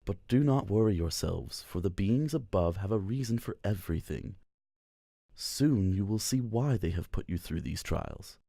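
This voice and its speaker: A man plays a serene male religious leader from a fantasy game, low and mellow in voice. He speaks with measured, formal eloquence, as if preaching to the faithful. The sound is close and dry. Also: expressive, vocal fry, no echo, heavily acted. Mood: reassuring. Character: serene, eloquent male priestly leader addressing his followers. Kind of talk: visual novel voice acting, formal oration.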